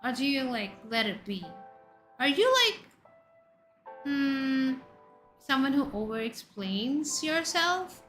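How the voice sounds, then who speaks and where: Bright-voiced Indian-accented woman streamer. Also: sits high, expressive, slow pace, long pauses. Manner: chatty, jumps between questions to chat, loud exclamations. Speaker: VTuber streamer. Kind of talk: casual chat reading viewer messages. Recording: faint background music under voice.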